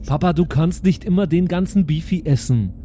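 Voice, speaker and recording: A man playing a naive, excitable alien son in an improvised audio play, speaking childlike broken German with a repeated verbal tic. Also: a little high, normal speed, slight background noise, natural voice. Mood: naive, chatty.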